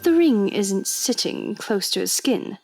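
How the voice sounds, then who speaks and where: Slow-talking, defiant lady detective. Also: mid-range voice, big pitch swings, gravelly, a little mellow, faint music behind, exaggerated voice. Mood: defiant, dry. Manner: dry, self-assured. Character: defiant, sharp-witted young female undercover agent in Edwardian London. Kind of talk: audio drama acting and narration.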